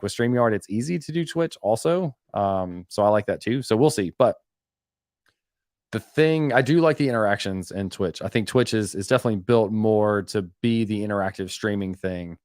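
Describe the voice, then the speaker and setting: A man with a fairly low voice hosts a live Q&A stream and reads questions from the chat. He talks loosely and conversationally, often restarting sentences and filling gaps with "um" and "like." The sound is dry and close to the mic. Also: dry recording. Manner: conversational, many fillers and self-corrections. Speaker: host of a live web development Q&A stream.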